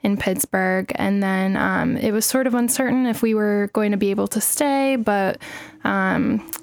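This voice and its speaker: Young female co-host, easygoing and halting, dry close-miked read. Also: medium pitch, gravelly, no echo. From Croatia. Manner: relaxed, hesitant with many ums. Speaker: podcast co-host, medical student. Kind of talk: casual podcast chat.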